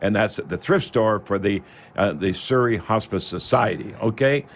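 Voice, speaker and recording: A man's voice: on the low side, moderate pace. A man with a Canadian accent hosting a live local radio show, talking in a rambling, folksy way straight to his listeners.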